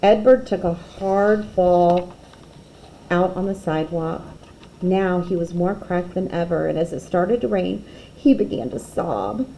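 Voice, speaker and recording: A woman reading a children's picture book aloud expressively, with dramatic pauses and emphasis. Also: lowish voice, dark tone, a little hiss or hum, poor audio.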